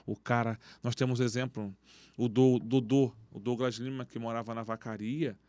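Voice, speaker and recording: A man who coaches youth football, speaking as a podcast guest and telling stories in animated street slang. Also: medium pitch, normal speed, dry recording. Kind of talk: casual interview talk. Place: podcast studio.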